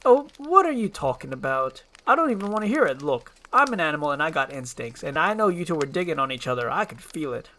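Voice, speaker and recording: Woman as talkative cartoon donkey, male: blunt, jumpy, exclamatory, with a very animated pitch range. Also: fast, few pauses, a little hiss or hum, exaggerated voice. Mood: knowing, teasing. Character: excitable, chatty male donkey sidekick, easily spooked. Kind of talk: animated film script read aloud.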